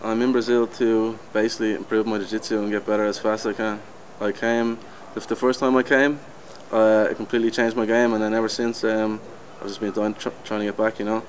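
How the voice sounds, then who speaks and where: A man in his twenties with an Irish accent, calmly answering interview questions. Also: fairly low pitch, brisk, few pauses, slight background noise. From Ireland. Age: 20s.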